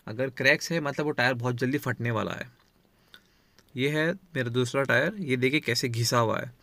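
A man's voice: mid-range voice, normal speed, vocal fry, sharp tone, no echo. Man explaining car checks outdoors. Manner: explanatory, addresses viewers as friends. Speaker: car vlogger. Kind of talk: how-to car maintenance walkthrough. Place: outdoors beside a car, under the bonnet.

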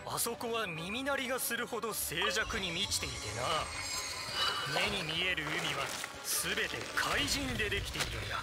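A man's voice: high-pitched, animated, moderate pace, over loud music. An actor playing a boastful middle-aged male adventurer in a video game, speaking in a pompous, theatrical way. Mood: grave storytelling. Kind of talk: Japanese video game voice acting.

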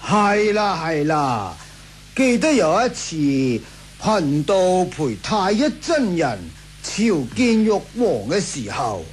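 A man playing a sly old Taoist priest in a radio drama, flattering and theatrical with drawn-out words. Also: high-pitched, slight background noise, exaggerated voice. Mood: sly flattery. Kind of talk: Cantonese radio drama acting, 1980s.

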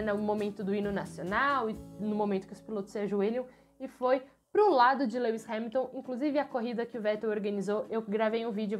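A young woman with a Brazilian accent hosting a motorsport channel, giving emphatic, expressive news commentary to camera from a room at home. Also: a little high. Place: small furnished room at home, indoors.

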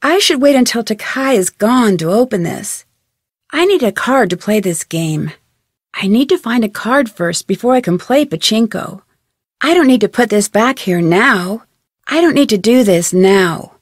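Woman voicing a young girl detective in a video game, curious n upbeat, mid pitch, talks clearly, super dry sound. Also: lively intonation, normal speed, no echo, lightly acted. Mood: matter-of-fact. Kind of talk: video game voice acting, scripted lines and letter narration.

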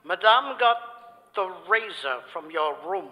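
Casting: solemn devoted butler, high-pitched and stiffly formal, radio play in a reverberant hall. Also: male voice, expressive, measured pace, sharp tone, full character voice. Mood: agitated. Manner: stiff, deferential. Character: formal, grave, devoted manservant man. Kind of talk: old-time radio drama acting. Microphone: stand microphone on stage. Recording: live theater stage, long reverb.

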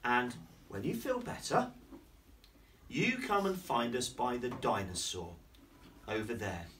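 Animated middle-aged man reading to children. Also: mid-range voice, slow pace, a little hiss or hum. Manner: animated, chatty asides to the children. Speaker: headmaster. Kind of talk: reading a picture book aloud to young children. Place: primary school classroom, indoors. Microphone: distant camera. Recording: distant camera in a classroom.

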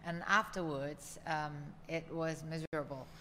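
Earnest young woman in a boomy room. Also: fairly even intonation, pushed and bright, big-room sound, a little hiss or hum. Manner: earnest, halting, with many restarts. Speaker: co-host, wife of the course creator. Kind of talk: casual sales testimony chat. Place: home living room, indoors. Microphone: studio mic on boom arm.

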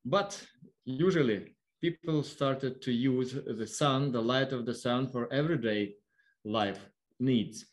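A man with a Lithuanian accent gives an explanatory online lecture in halting, non-fluent English. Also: mid-range voice. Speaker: observatory worker giving a lecture. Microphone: online video call.

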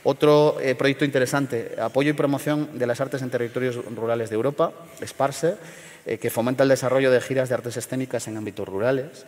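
A middle-aged man from León in Spain, speaking at a panel forum in a measured, hesitant way with many fillers and restarts. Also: mid-range voice, fairly even intonation, darkish tone, long reverb tail. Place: large theater stage.

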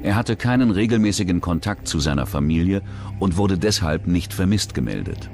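Tiefer, lebhaft betonender Erzähler. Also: male voice, low-pitched, big pitch swings, fairly quick, over music. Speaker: documentary narrator. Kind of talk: scripted true-crime narration.

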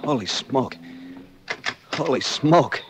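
An actor playing a flustered, chatty bartender in a folksy, excitable 1940s radio style. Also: male voice, mid-range voice, takes it slowly. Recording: muffled, noisy 1940s radio recording.

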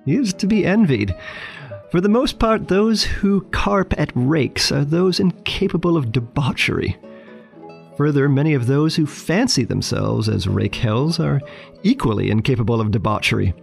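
A man playing a pompous schoolteacher musing over his diary, with gravelly voice and formal phrasing, in a radio story. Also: a little high, lively intonation, normal speed, faint music behind.

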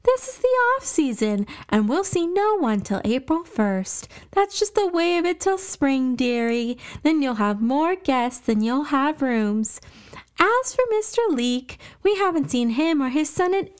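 A woman voicing a burly, warm, jolly shopkeeper grandmother of seventy with a folksy, endearing way of talking in a scripted audio story. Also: high-pitched, very animated, unhurried, pitched above the performer's own voice. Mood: cheerful, reassuring. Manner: folksy, uses old-fashioned endearments like lass and dearie.

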